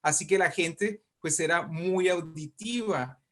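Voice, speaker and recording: A man's voice: fairly high pitch, a little slow, fairly bright tone. A man with a Mexican accent speaking conversationally as an interview guest, explaining in an emphatic, discursive way and often checking in with the listener. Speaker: invited guest, writer and poet.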